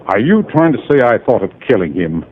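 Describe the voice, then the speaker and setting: A man's voice: on the low side, sing-song, brisk, a little hiss or hum. An actor playing a cornered, indignant businessman accused of murder in a 1950s radio drama, protesting huffily. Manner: huffy, protesting. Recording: tinny lo-fi old radio recording.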